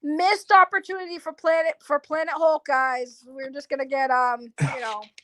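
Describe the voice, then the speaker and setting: A woman's voice: high-pitched, fairly even intonation, moderate pace, pushed and bright, dry recording. A woman in casual chat as co-host, blunt and argumentative, swearing freely.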